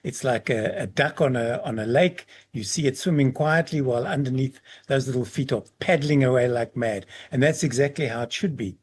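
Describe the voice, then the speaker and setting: Male podcast host, husky voice, chatty and affable. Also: mid-range voice, brisk, hardly any pauses, a little mellow. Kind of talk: podcast interview.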